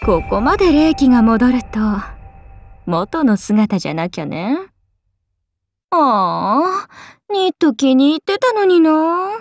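A woman's voice: high voice, sing-song, slow pace, dry recording, faint music behind, heavily acted. A woman voicing a playful, teasing, carefree oni goddess in an anime game, with a casual, airy drawl. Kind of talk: Japanese anime game voice acting.

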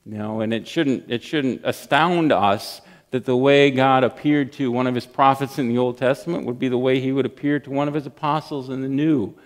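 A middle-aged man preaching a sermon from a church lectern, reading scripture and praying with earnest emphasis and pauses. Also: mid-range voice, fairly even intonation. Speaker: pastor. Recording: long church hall reverb.

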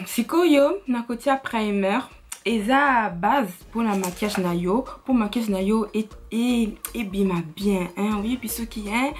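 A young woman with an Italian accent, chatting casually through a makeup tutorial in her bedroom, switching between languages with frequent fillers. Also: medium pitch. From Italy. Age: young adult. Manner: chatty, mixes French and Lingala, frequent fillers. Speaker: beauty vlogger. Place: bedroom, indoors.

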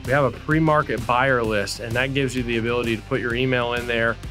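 Man, mid-pitched, casual outdoorsman chatting about land, soft music underneath. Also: steady tone, fast talker. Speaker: land agent and hunter. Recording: faint music bed behind the voice.

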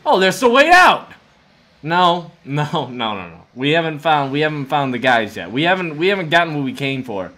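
Man in his 20s, high voice, very animated intonation, excitable gameplay commentary, dramatic, jokey. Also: moderate pace. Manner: dramatic, jokey, talks to game characters. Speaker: gaming video host.

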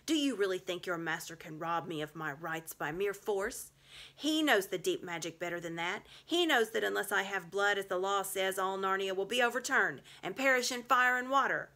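A woman voicing a cruel, haughty witch queen in a storybook, declaiming with shrill, savage force. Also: lively intonation, moderate pace, sharp tone. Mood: savage, commanding.

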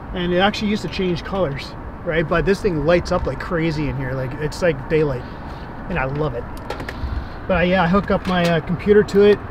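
A man with a fairly high voice talks loosely from inside his parked minivan. He rambles, restarts his sentences and keeps tagging on "right?". Traffic and street noise carry clearly in the background. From Canada. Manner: rambling, filler-heavy, tags sentences with "right?". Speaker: interviewee. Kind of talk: casual chat. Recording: vehicle and street noise behind. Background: outdoor traffic ambience.

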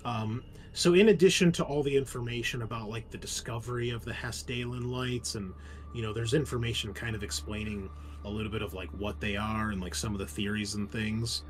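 Low-voiced man running a tabletop game. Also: fairly even intonation, a little fast. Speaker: game master. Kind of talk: casual tabletop game narration. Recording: noticeable background noise.

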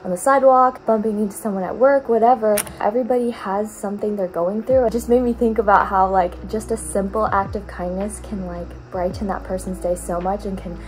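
A woman's voice: mid-range voice, fairly even intonation, brisk, few pauses, darkish tone, slight background noise, rough recording. Chatty young woman vlogging. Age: young adult. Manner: chatty, encouraging, lots of "like". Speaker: college student vlogger. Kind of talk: casual vlog talk to camera.

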